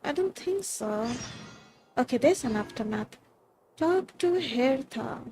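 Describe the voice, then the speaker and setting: A woman's voice: high voice, lively intonation, slow, a little mellow, faint music behind. A woman with an Indian accent giving casual live commentary as a game streamer. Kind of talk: casual live gameplay commentary, mixing Hindi and English.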